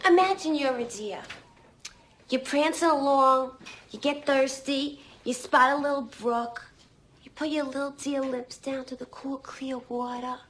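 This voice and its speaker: Casting: exasperated young New York woman, film dialogue, high and sharp, swears freely, emphatic. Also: a little slow, a little hiss or hum. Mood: indignant sarcasm.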